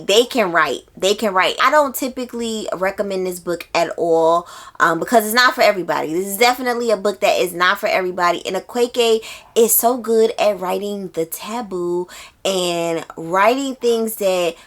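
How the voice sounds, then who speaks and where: A woman's voice: medium pitch, normal speed. A woman hosting a casual book review video, talking to viewers in an emphatic way and repeating phrases for emphasis.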